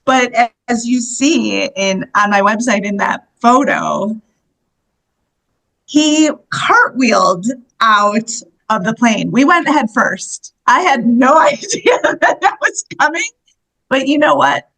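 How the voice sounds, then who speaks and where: A woman in her fifties from the United States, a guest telling stories in a casual interview, animated and laughing often. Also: fairly high pitch, slow pace, vocal fry, low-quality recording. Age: 50s. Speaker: guest, solo travel coach.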